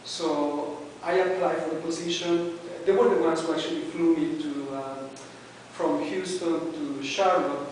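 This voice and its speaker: A man with a Mexican accent, his first language Spanish, gives a halting prepared speech to a club, pausing often on fillers. His voice sits a little high for a man. He is recorded from across a very echoey meeting room, with a faint hiss underneath. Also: steady tone, a little mellow, distant mic. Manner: hesitant, many "uh"s and restarts. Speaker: club member giving a speech.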